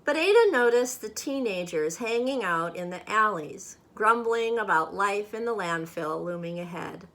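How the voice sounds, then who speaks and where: A woman reading a children's picture book aloud expressively, with measured, dramatic phrasing meant for young listeners. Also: mid-range voice, lively intonation, a bit bright. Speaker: storybook reader.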